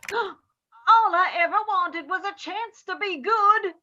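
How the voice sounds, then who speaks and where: A woman playing a high, hammy cowboy outlaw. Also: takes it slowly, pushed and bright, highs cut off, slight background noise, exaggerated voice. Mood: melodramatic. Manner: hammy, melodramatic. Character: cocky burly male Wild West bank robber outlaw. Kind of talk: radio play acting.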